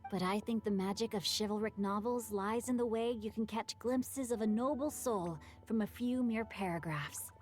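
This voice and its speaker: A woman's voice: a little high, moderate pace, hardly any pauses, darkish tone, soft background music, natural voice. A woman voicing a polite, bookish, eloquent teenage boy in an anime game, with poetic delivery. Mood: refined, reflective. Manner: poetic, refined.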